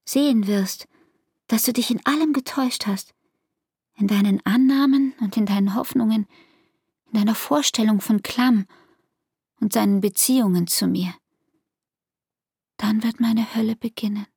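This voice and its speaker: An actress playing an anguished, devoted young barmaid in an intimate radio play, with speech and a breathy, half-whispered, intense delivery. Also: female voice, fairly high pitch, normal speed, pauses often, darkish tone, no room sound, studio quality. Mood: bitter, intense.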